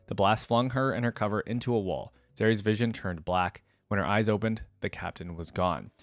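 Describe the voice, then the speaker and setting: A man's voice: fairly low pitch, brisk, dry recording. A man hosting a reaction video, reading and commenting casually as an informal, enthusiastic fan.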